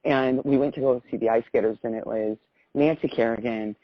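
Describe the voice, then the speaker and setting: A woman's voice: a bass voice, fairly even intonation, fairly quick, no echo. A middle-aged American woman on a phone call, telling a personal story in an effusive, rambling way. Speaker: phone caller. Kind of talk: casual spoken personal account. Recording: muffled phone call recording.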